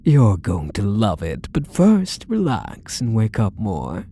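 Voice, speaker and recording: A man playing a possessive, doting stalker husband in an intimate ASMR roleplay, speaking softly with coaxing, condescending endearments. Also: lowish voice, huge pitch swings, takes it slowly, vocal fry, husky, exaggerated voice. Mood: doting, smug. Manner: soft, coaxing, condescending endearments, lingering phrases. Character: possessive, doting male yandere stalker husband, sweetly menacing.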